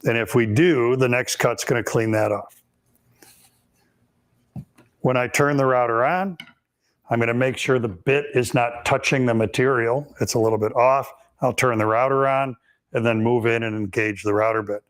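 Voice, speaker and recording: A man with a raspy, hoarse voice, hosting a live woodworking Q&A and explaining techniques step by step in a shop, with frequent ums. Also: medium pitch, steady tone, normal speed. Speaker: woodworking instructor and host.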